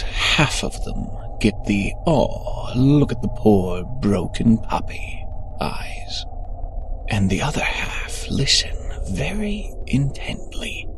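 A man playing a haunted physicist in his thirties confined as a patient, dazed and halting, in a horror audio drama with a gravelly, hushed delivery. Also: fairly low pitch, noisy background, heavily acted. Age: 30s. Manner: halting, dazed, intense, breaking into hushed tones. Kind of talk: first-person horror audio drama narration.

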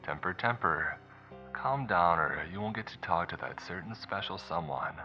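A man voicing a taunting kidnapper in an audiobook, calm, mock-polite and menacing, with unhurried delivery. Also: sits low, lo-fi sound, faint music behind. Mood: mocking menace. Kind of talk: audiobook character dialogue.

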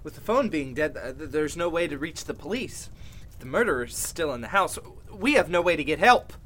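A man playing a nervous, dejected character in an old-time radio mystery, with a halting delivery. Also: a little high, animated, fairly bright tone. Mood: worried.

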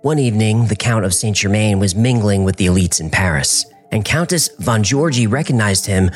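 A man narrating a scripted documentary, telling the story with a dramatic delivery and wide swings in pitch. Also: expressive, normal speed, faint music behind.

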